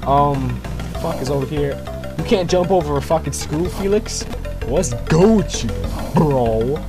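Young male gamer, animated and slangy, swears freely, commentary over music. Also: fairly high pitch, measured pace. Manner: profane, slangy, excitable, talking to himself. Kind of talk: casual gameplay commentary. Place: at home at night. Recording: music behind the voice.

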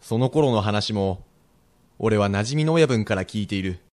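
Man acting a low-voiced, frank Edo merchant. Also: lively intonation, moderate pace, darkish tone, close to an everyday voice. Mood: persuading. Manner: plain-spoken, rough old-Edo townsman speech. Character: frank, steely Edo-era merchant husband and father. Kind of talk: period radio drama acting.

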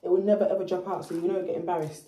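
A young woman, vlogging in loud, laughing banter full of swearing. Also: fairly low pitch, fairly even intonation, very fast, reverberant, distant mic. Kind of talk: casual chat.

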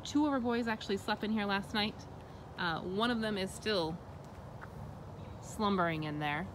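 Woman, 30s, mid-pitched, cheerful casual vlog, outdoors, clear background noise. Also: moderate pace. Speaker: co-host. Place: outdoors in woods.

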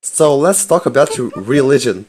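A man trolling strangers in game voice chat, deadpan and mock-indignant, talking into a headset mic. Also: medium pitch, moderate pace. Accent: put-on Russian. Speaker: prank-style player in voice chat.

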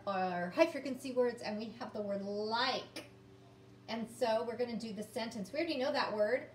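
A woman in her fifties teaching young children, sounding out words and reading aloud in a deliberate, encouraging way. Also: high voice. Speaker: early-grades teacher. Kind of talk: children's phonics lesson and read-aloud. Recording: roomy, distant mic, background noise.

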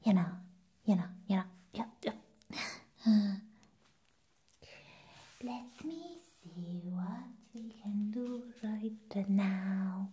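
A young woman playing an eccentric, flustered vampire nurse in a whispered ASMR roleplay, slow and halting, repeating her words. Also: mid-range voice, dark tone, full character voice. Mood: musing, coy. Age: young adult. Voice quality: breathy, whispery. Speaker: ASMR performer. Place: small indoor room set as a clinic. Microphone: close microphone.

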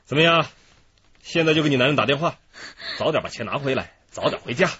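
An actor playing a ruthless, commanding gang boss in a radio crime drama, coarse and coldly mocking, with a husky voice. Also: male voice, medium pitch, animated, fast, bright, forceful tone, dull audio, a little hiss or hum. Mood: impatient, pressing. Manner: commanding, coarse, falsely reassuring.

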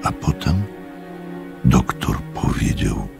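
An actor playing a composed gentleman narrator in a Polish radio play, gravelly, sober and measured. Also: music in the background, natural voice. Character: composed gentleman narrator recalling a strange experiment.

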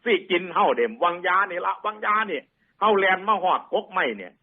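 A man's voice: high voice, expressive, brisk, narrow, tinny audio, pitched above the performer's own voice. A man voicing a frightened tiger in a folk fable, stammering, pleading and wailing with an Isan accent. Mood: breathless fear. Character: frightened panicky tiger fleeing an imagined monster.

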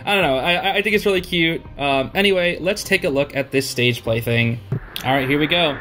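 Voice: medium pitch, fairly quick, a bit bright. A young adult hosting casual gaming and reaction commentary, gushing in slangy exclamations. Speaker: host of a gaming reaction channel. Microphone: home recording on a makeshift travel setup. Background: music.

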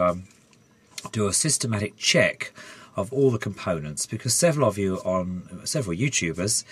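A man in his fifties with a head cold, hoarse, rambling casually with fillers while showing a repair in his home workshop. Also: sits low. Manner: rambling, many fillers and restarts. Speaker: electronics hobbyist vlogger. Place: home garage workshop, indoors.

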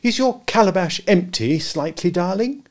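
A man putting on a fussing girl playing mother in a storybook, raised a little in pitch and lively in tone. She scolds and frets with prim, tart authority. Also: moderate pace. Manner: bossy, fussing.

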